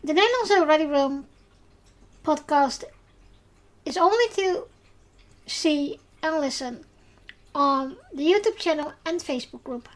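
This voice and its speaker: High-voiced Dutch woman podcast host. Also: unhurried, long pauses, poor audio. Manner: hesitant, many filler sounds. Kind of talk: casual podcast chat.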